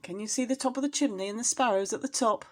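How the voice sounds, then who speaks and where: A woman storyteller reading expressively to young children, in an engaging, animated manner. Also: on the high side, fast talker. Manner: animated, addresses young listeners with questions.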